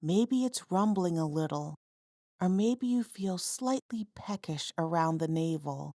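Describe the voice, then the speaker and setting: Slow, low-pitched woman guiding meditation. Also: no echo, studio quality. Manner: calm, measured, instructional. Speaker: mindfulness guide. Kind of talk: guided body scan meditation narration.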